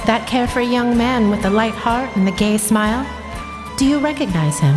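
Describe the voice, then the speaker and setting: A woman playing a solemn, commanding spirit in a radio drama, speaking in a stately, formal, deliberate way. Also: on the high side, natural voice. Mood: probing, solemn. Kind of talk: theatrical radio drama acting. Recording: long cavernous reverb. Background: music.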